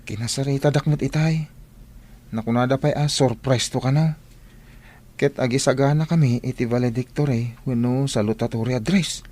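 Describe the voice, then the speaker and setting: A man's voice: medium pitch, normal speed, a little mellow, close to an everyday voice. A male actor with an Ilocano accent playing an earnest, dutiful young student in a radio drama. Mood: puzzled, modest.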